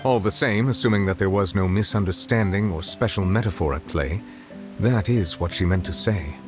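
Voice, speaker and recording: An actor playing a grave, reserved judge in a video game, speaking formally and with precise, measured diction. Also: male voice, sits low, over loud music, natural voice. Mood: thoughtful. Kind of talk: video game character dialogue.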